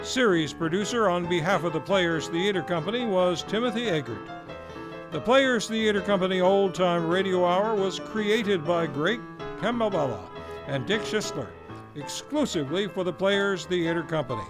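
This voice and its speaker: Male series host, formal announcer read, a touch high, music bed behind. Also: lively intonation, moderate pace. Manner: polished, formal announcer delivery. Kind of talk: scripted closing credits announcement. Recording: music playing underneath.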